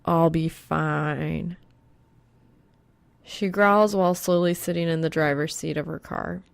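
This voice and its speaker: A woman narrating a romantic fan fiction audiobook in a measured, hushed, creaky voice. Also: lowish voice, no room sound. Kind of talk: scripted narration of a romantic story.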